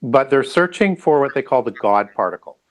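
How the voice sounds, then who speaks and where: A man speaking as an interview guest, explaining ideas in a halting, pieced-together way. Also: medium pitch, animated.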